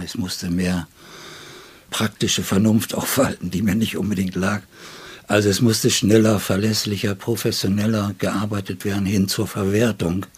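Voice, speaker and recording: A man in his seventies from northern Germany, an interview guest telling stories in a reflective, deliberate, wry way. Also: on the low side, darkish tone, slightly rough. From Friesland, northern Germany. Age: 70s. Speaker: poet and literary editor, interview guest. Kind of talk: reflective conversational storytelling. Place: indoors, in his home study.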